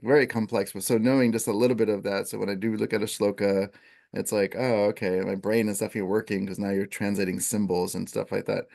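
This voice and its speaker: A man who teaches Ayurveda, explaining in a relaxed, conversational way with plenty of fillers. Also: fairly low pitch, vocal fry. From Italian first language. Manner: relaxed, filler-heavy, chants Sanskrit verses.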